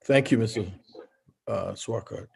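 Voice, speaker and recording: A man serving as mayor, chairing a city meeting in a brisk, formal way. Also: lowish voice, vocal fry, darkish tone, husky.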